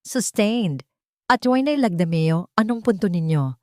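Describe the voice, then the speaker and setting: A woman narrator plays an older Filipino woman judge in an audio story. She is stern and official, giving formal rulings with animated pitch in a dry recording. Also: measured pace, dry recording, lightly acted. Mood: commanding. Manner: formal, commanding.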